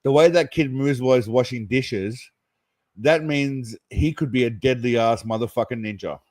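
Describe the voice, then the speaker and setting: A man's voice: mid-range voice, normal speed, darkish tone, no echo. Sarcastic, foul-mouthed man, dry close mic. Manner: sarcastic, profane, mocking. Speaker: host reacting to clips. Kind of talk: crude comedic commentary.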